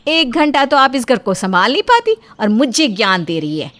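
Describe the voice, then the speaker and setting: A high female voice , a woman acting as a short-tempered young daughter-in-law in a cartoon family story. She scolds sarcastically, sounds wronged and readily threatens. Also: very animated, normal speed, a bit bright. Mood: aggrieved, threatening.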